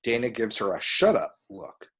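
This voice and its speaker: A man's voice: medium pitch, big pitch swings, moderate pace, a bit bright, husky. A man reading screenplay action and scene headings as narration for an amateur table read. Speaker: reader of screenplay action description.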